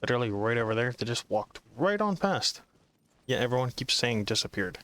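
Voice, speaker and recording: Wry man with a mid-pitched voice. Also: sing-song, brisk, fairly bright tone. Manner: sarcastic, wry. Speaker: gamer commenting on playthrough. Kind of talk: casual gameplay commentary.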